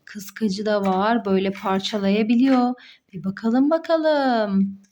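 A woman's voice: mid-range voice, takes it slowly, mellow, lo-fi sound, slight background noise. A young woman, a mother, playfully narrating toy play for children, full of exclamations and questions to young viewers.

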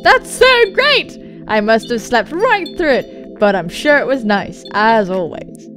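A woman playing an awkward, smitten, brash young woman from a dating sim in a loud, exaggerated way. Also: fairly high pitch, very animated, measured pace, soft background music. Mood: eager.

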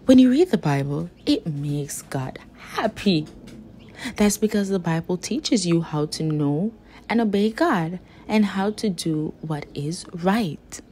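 A woman reading a children's picture book aloud as a storyteller, with gentle, deliberate, emphatic phrasing. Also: fairly low pitch, slight background noise.

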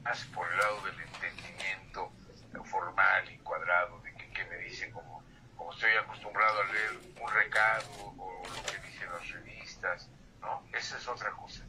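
A man's voice: deep voice, fairly even intonation, normal speed. An older man, a poet, reciting verse with whispered refrains and then chatting about his books over a phone line. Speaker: poet and guest. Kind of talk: phone-in interview and poetry reading. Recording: tinny phone line with faint hiss.

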